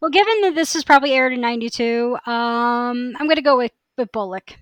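A woman's voice: a little high, a little fast, clear, resonant tone, no echo. A woman co-hosting a podcast in casual chat, with a wry, informal, opinionated way of talking. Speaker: podcast co-host.

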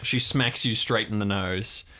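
A man narrating an improvised tabletop story as game master in a halting, informal way. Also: on the low side, sharp tone, slight background noise.

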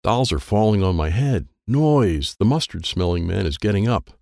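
Low gravelly guy reading a kids book as the kid himself, really animated, simple little sentences. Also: moderate pace, no room sound, clean studio sound. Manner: simple, short, childlike phrasing. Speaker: audiobook narrator. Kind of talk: first-person children's story narration in a child character's voice.

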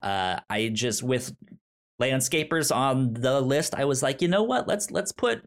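A man chats casually as a podcast co-host. He stutters, often repeating syllables and whole words, so his delivery is halting. He still comes across as enthusiastic, at a medium pitch. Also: expressive. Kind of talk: casual review podcast chat.

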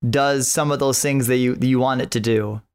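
A man's voice: medium pitch, steady tone, fairly quick, darkish tone, clear, resonant tone. A man speaking as a podcast guest in a casual fan discussion, keen and often repeating his phrases as he goes.

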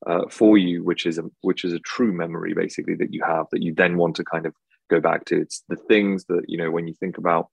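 A man's voice: sits low, little pitch movement, brisk, gravelly, no room sound. A man with an English accent answering interview questions as a hospitality tech founder, rambling with lots of filler words. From Czech Republic. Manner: rambling, heavy filler words like "basically" and "you know".

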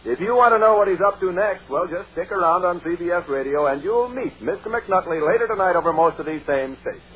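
A man's voice: sits high, expressive, quick pace, muffled recording. An American man reading a scripted announcement in the style of 1950s radio. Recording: noisy band-limited old radio broadcast.

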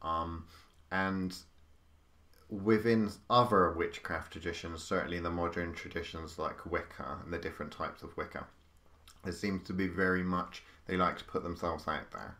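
A man hosts a podcast interview in a very deep voice. He builds his questions slowly and at length, with hesitations and restarts along the way. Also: steady tone, sharp tone. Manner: rambling, long-winded questions with hesitations. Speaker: podcast host. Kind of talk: casual interview chat.

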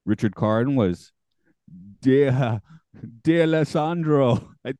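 A man hosting a podcast in casual chat, with a morning gravel in his voice, chatty and quick to laugh at himself. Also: mid-range voice, mellow, no room sound. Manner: chatty, self-deprecating, laughs often. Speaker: podcast host.

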